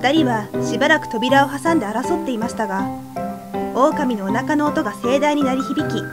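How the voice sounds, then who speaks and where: A woman's voice: high-pitched, normal speed. A woman narrator reading a scripted fairy tale in a polite, slightly wry storytelling manner. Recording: music behind the voice.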